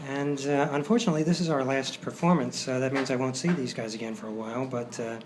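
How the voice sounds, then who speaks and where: A noisy live recording of a man with a mid-pitched voice. He speaks informally and gratefully to an audience as the show's host, feeling his way through his words. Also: steady tone, quick pace. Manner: hesitant, grateful. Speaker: writer, director and host of the show. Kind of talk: informal thank-you speech to a live audience. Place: hotel performance space, live audience.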